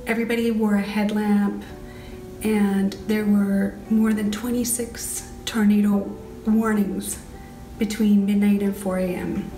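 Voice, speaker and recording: A woman with a dark, mellow voice and very flat intonation tells her story. She sounds distant in an echoey room, with faint guitar music. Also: mid-range voice, measured pace. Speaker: mother recounting a storm night. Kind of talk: candid personal storytelling.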